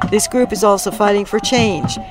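A woman filing a scripted radio news report from the field, crisp and direct. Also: mid-range voice, steady tone, normal speed. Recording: loud music bed behind voice.

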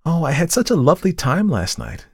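A man putting on a bright, bubbly young woman who chatters on eagerly, pitched far above his own register. The sound is dry and close. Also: huge pitch swings, brisk, slightly rough, no echo, heavily acted. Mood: chatty.

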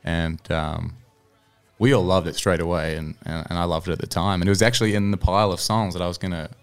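A man's voice: low voice, fairly even intonation, quick pace, smooth, faint music behind. A man speaking as an interview guest, a singer-songwriter telling anecdotes in an easygoing, conversational way. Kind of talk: casual interview chat, anecdotes.

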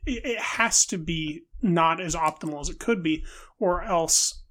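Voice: mid-range voice, a little slow, a bit bright. A film podcast co-host in an analytical discussion, building wordy arguments with hesitant, repeated words.

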